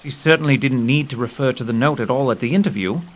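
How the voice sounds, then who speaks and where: A man playing a shrewd, logical gentleman detective in an audio drama, precise, formal and inquisitive. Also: mid-range voice, quick pace, lightly acted. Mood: analytical, brisk. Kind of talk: audio theatre acting.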